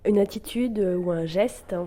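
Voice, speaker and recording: A woman's voice: medium pitch, a little slow, warm tone. A woman interviewing on the radio, putting short scripted questionnaire prompts to a guest.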